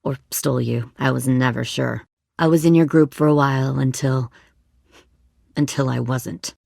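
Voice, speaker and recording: A woman acting a hardened, menacing leader of raiders in a zombie video game, speaking in a cold, coercive, deliberate way. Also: rumbling low, fairly even intonation, creaky, dark tone, no room sound, clean studio sound, exaggerated voice. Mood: guarded, reflective.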